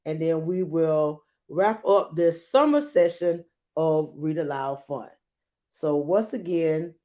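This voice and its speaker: A woman hosting a children's storybook read-aloud, reading expressively with dramatic emphasis and deliberate pauses. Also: low-pitched, dark tone.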